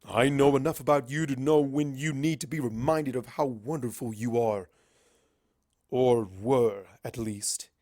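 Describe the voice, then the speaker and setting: A man's voice: mid-range voice, measured pace, darkish tone, dry recording, studio quality, natural voice. A man voicing an arrogant, irritable male pony wizard with a blunt, bossy manner in a story reading. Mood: cutting.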